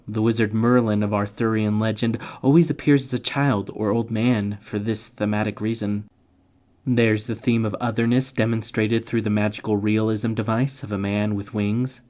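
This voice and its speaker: A man with a fairly low voice delivers a scripted, explanatory narration. He sounds playful and tongue-in-cheek, dropping slangy comic asides in among the more formal lines. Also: darkish tone. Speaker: narrator of literature summary videos.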